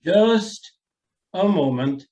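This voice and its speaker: A man as a commanding, high-pitched, imperious father. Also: expressive, very slow, dark tone, heavily acted. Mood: stern. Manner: curt, commanding. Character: imperious, wealthy father, stern and dismissive. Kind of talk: old-time radio drama acting.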